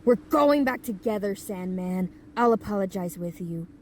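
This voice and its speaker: A woman's voice: mid-range voice, lively intonation, normal speed, very noisy, close to an everyday voice. A woman voicing a firm, protective older sister from a tribe, insistent and scolding, in a manga dub. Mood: firm, reproachful.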